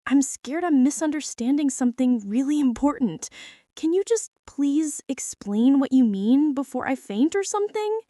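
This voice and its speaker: A woman playing a shy, self-doubting teenage art girl in a girlfriend ASMR roleplay, speaking in a nervous, halting, rambling way. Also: high voice, expressive, warm tone, dry recording, studio quality. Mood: anxious, panicky.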